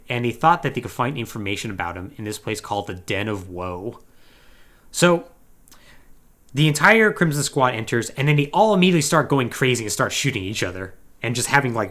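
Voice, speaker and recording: Male podcast host, mid-pitched and very lively in intonation, casual slangy comedic storytelling. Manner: animated, slangy storytelling with mocking acted-out quotes. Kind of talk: casual comedic plot recap of a video game.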